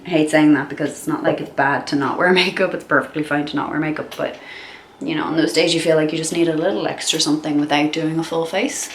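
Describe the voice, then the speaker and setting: A young woman chats on while she does her makeup, her voice stuffed up and nasal from a cold. She rambles with plenty of ums and likes, in a slightly low register. The room is echoey, with a faint hum underneath. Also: fairly even intonation, fast. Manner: chatty and rambling, many fillers. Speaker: beauty vlogger. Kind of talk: casual chat while doing makeup.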